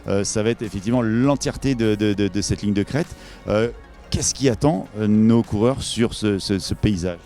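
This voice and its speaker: A man's voice: on the low side, moderate pace, dark tone, soft background music. A man presenting a promotional event interview, improvising and often searching for his words.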